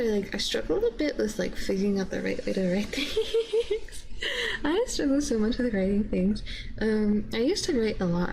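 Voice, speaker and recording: A woman streamer chatting casually and hesitantly with her live viewers in a soft-spoken, giggly way. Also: mid-range voice, vocal fry, a little hiss or hum, rough recording. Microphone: binaural ASMR microphone.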